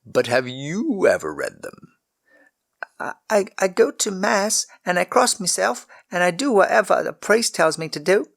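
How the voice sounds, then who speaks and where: A frightened little scullery maid, ten years old, stammering and pleading in a high, lively voice, as a male storyteller puts her on. The tone has a slight gravel underneath. It sounds like a storybook reading. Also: measured pace, full character voice. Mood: defensive. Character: timid poor ten-year-old scullery girl.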